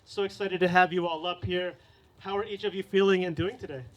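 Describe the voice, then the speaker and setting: High-voiced upbeat male podcast host. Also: fairly even intonation, fairly quick, low-quality recording. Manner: upbeat, hypes up guests. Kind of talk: live panel hosting. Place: cafe event stage.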